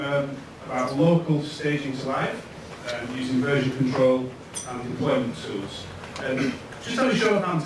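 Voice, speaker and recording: A man in his thirties with a Lancashire accent, giving a relaxed, chatty tech talk to an audience, pausing often with ums. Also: a little high. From Lancashire, England. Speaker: conference speaker. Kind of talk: tech meetup presentation. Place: event room with audience. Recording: echoey room, distant mic, noisy.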